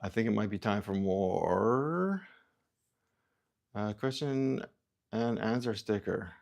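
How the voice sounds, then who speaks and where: A man from Canada hosting a livestream, musing aloud in halting, self-interrupting sentences and reading out viewer comments. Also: fairly low pitch, creaky, a little mellow. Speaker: livestream host. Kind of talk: rambling livestream chat.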